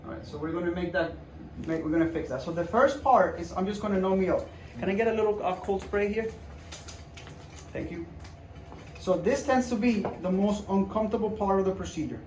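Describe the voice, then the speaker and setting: Male doctor, higher-pitched voice, informal and reassuring walkthrough of a procedure, picked up from a distance in an echoey room. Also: a little fast, a little mellow. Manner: informal, reassuring, repeats himself while demonstrating. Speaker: doctor performing a hand procedure. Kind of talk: casual procedure walkthrough to patient and viewers. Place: indoor clinic room. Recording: roomy, distant mic with background noise.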